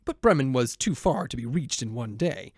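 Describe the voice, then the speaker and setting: A man's voice: medium pitch, big pitch swings, moderate pace, a little mellow, slightly rough, dry recording, clean studio sound. A man narrating a children's storybook as a voiceover performer.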